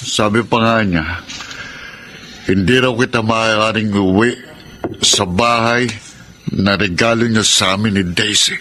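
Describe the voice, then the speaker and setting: Proud bitter Filipino husband, melodramatic, wounded, radio drama, noisy ambience. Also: male voice, fairly low pitch, fairly even intonation, measured pace, long pauses, bright, forceful tone. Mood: bitter, hurt. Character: proud, bitter, self-pitying husband and son.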